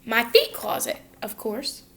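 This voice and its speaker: A woman's voice: fairly high pitch, wildly swooping pitch, unhurried, vocal fry, a bit bright, heavily acted. A woman voicing an old male peddler from a storybook, a cheerful street seller with sing-song calls. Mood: matter-of-fact. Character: cheerful old male peddler hawking feet, sighing with age. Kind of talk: storybook read-aloud character voice.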